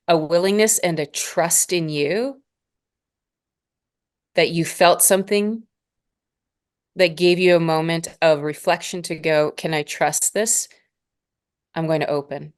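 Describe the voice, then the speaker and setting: A woman in her forties from Northern Alberta, a spiritual mentor speaking as a guest in a slow, measured, reflective way. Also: low voice, fairly even intonation, clean tone. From Northern Alberta, Canada. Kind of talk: reflective interview conversation.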